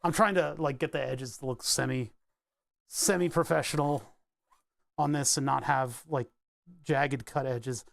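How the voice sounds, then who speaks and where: A man's voice: medium pitch, unhurried, vocal fry, pauses often, no room sound. A man chatting casually on a live stream as he builds electronics, rambling, answering chat and laughing often.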